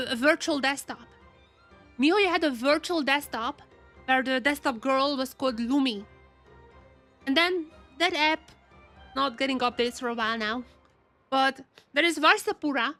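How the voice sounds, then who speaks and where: A woman giving casual, chatty live commentary on a video game, excitable and often repeating her phrases. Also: high-pitched, no echo. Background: game music.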